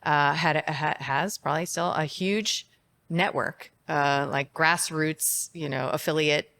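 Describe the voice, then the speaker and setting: Woman, middle-aged, psychiatrist guest, deep voice, candid, reflective. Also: fairly even intonation, slow pace, a bit bright. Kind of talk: interview conversation.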